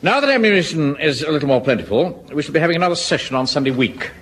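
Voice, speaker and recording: A pompous stuffy army captain. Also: male voice, a little high, sing-song, racing, fairly bright tone. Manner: pompous, stuffy, self-important. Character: pompous middle-aged male home guard captain. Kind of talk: 1970s radio sitcom acting. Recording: old radio recording with faint hiss.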